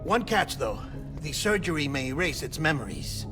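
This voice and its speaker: An actor playing a smooth-talking, clinical scientist in a cinematic video game, explaining things in theatrical, precise phrasing. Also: male voice, on the high side, sing-song, normal speed, over music. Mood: matter-of-fact. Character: fussy, smooth-talking male scientist-doctor, clinical and secretive.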